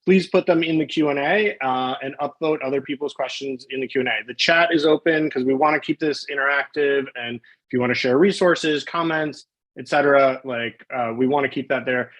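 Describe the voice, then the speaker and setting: A man's voice: mid-range voice, brisk, a bit bright, low-quality recording. A man hosting a live online interview on a video call, friendly and informal as he introduces his guest. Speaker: host, webinar moderator.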